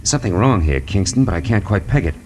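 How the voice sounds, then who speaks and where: An actor playing a tough, wisecracking American private detective in a 1940s radio noir drama, slangy and sardonic. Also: male voice, low voice, lively intonation, fast talker, darkish tone. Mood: brisk, intent. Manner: hard-boiled, slangy, sardonic. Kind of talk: 1940s radio noir acting and first-person narration. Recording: 1940s radio recording with music.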